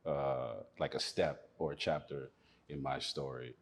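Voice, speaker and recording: A man's voice: extremely low pitch, steady tone, unhurried. A man from Malawi, an attorney in his thirties, chatting casually in an interview with many fillers and frequent laughs. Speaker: attorney and investor.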